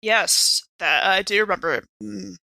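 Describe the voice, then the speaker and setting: Female game player, bright and crisp-edged, casual and expressive, improvising playfully in a dry space. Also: mid-range voice, unhurried, no echo. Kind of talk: casual improvised role-play chat.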